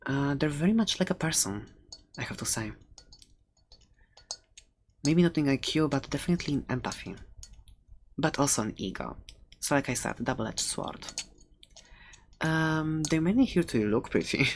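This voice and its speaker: A very deep female voice a woman acting as a quirky seller of fantasy creatures in an ASMR roleplay. She chats playfully and hesitantly, close to the mic, with faint background hiss and small clicks. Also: animated, moderate pace, long pauses. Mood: admiring, explaining. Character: chatty, quirky seller of dragon eggs, fond of dangerous pets. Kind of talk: ASMR roleplay, casual rambling talk.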